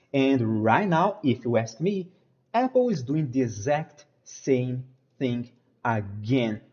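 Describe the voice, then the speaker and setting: A man's voice: on the high side, warm tone, lo-fi sound. A man from Brazil with a Brazilian Portuguese accent, walking viewers through a stock chart analysis and repeating his points with emphasis. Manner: emphatic, repeats phrases, addresses viewers as guys. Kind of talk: technical analysis walkthrough over a chart.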